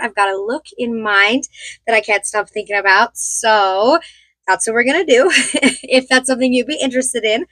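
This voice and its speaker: A woman in her thirties with a slightly high voice that sounds hoarse from a cold. She chats in a loose, rambling way and laughs often as she gets ready. The close recording is dry, with no room sound. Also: a bit bright, no room sound. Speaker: beauty vlogger. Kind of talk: casual get-ready-with-me chat.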